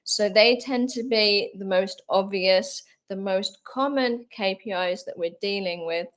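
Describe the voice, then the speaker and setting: A woman's voice: medium pitch, a little slow, fairly bright tone, low-quality recording. A woman who heads a company, explaining her work as a webinar panelist in a businesslike way with frequent fillers. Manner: businesslike, filler-heavy with kind of and um. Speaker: CEO and co-founder, panelist. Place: video call.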